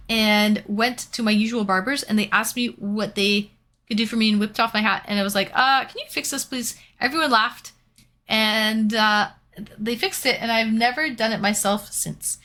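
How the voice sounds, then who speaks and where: A woman's voice: on the high side, sharp tone. A young woman from Canada chatting casually with viewers while painting and reading out their comments. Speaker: streamer.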